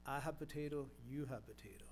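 A man putting on the voice of a grateful older Polish immigrant man, speaking simple broken English with a Polish accent, loud and earnest. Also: medium pitch, fast, slight background noise, close to an everyday voice.

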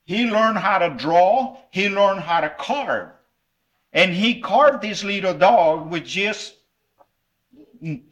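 A man's voice: sits high, lively intonation, takes it slowly, a bit bright, muffled recording. A middle-aged man from El Salvador preaching at a revival meeting and giving a children's lesson, friendly and conversational with fillers.

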